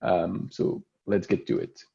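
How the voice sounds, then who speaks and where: A man with a French accent giving a casual, relaxed tech demo over an online meeting, with frequent "uh" fillers. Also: sits low, slow, creaky, breathy edge, muffled recording. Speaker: solutions architect presenting a demo. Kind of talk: casual screen-share tech presentation.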